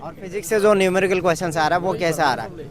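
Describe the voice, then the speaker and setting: High-pitched male street interviewer. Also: quick pace. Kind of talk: casual on-the-spot questioning. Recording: busy outdoor street, crowd and traffic noise.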